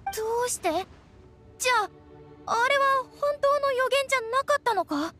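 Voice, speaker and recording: A woman voicing a tiny childlike fairy companion in an anime game, brash, exclamatory and squeaky. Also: animated, measured pace, sharp tone, faint music behind, heavily acted. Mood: dismayed. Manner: exclamatory, blunt. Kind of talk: Japanese anime game acting.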